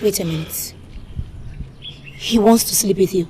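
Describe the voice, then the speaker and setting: A woman's voice: mid-range voice, measured pace, warm tone, a little hiss or hum, close to an everyday voice. An actress playing a concerned young village woman with a Nigerian accent, mildly questioning a friend in a drama. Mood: curious. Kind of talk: Nollywood village drama acting.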